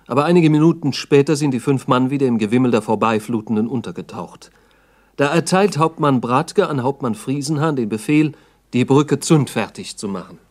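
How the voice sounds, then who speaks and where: A man's voice: medium pitch, moderate pace, a little mellow. A man giving scripted documentary narration in a radio play, sober and matter-of-fact.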